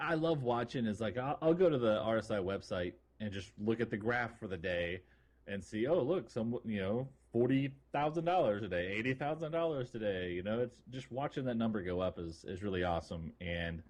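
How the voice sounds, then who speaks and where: A man's voice: lowish voice, lively intonation, fairly quick, darkish tone. A man co-hosting a gaming podcast, chatting informally in a joking way. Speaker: podcast co-host. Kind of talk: casual gaming chat.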